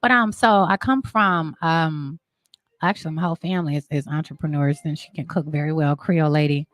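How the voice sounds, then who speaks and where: A woman in her thirties chatting informally as a talk show guest, telling stories in an animated way. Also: low-pitched, brisk, no room sound. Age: 30s. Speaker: talk show guest, marketing and branding entrepreneur. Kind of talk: casual interview chat.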